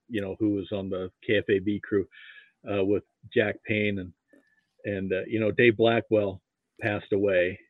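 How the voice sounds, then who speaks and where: A man chatting as a podcast guest in a modest, halting way, often repeating his words, on slightly dull audio. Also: lowish voice, no room sound. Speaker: podcast guest, amateur sports archivist. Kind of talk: casual interview chat.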